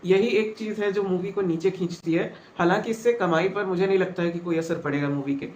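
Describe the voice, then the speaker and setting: A man giving a spoken film review, measured and thinking aloud as he goes. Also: sits high, darkish tone. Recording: roomy, dull sound with faint noise.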